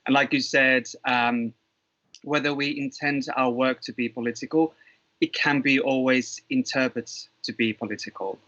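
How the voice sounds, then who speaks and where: A man's voice: mid-range voice, steady tone, normal speed, sharp tone, no echo. A man from Finland with a Finnish accent, speaking as a guest in a casual discussion over a video call, easygoing and self-deprecating. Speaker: panel guest. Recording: dry, muffled call audio.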